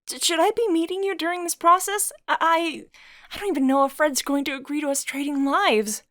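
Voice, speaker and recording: A woman voicing an anxious, stammering middle-aged woman, pitched up. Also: fairly even intonation, a little fast, fairly bright tone, no echo, studio quality. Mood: nervous. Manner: hesitant, stammering. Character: anxious, self-loathing middle-aged woman longing to be a man.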